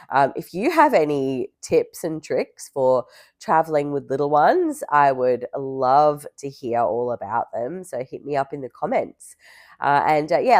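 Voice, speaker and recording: A young woman vlogging casually to camera, hoarse from illness and rambling with many fillers. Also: very deep voice, darkish tone, no room sound, clean studio sound.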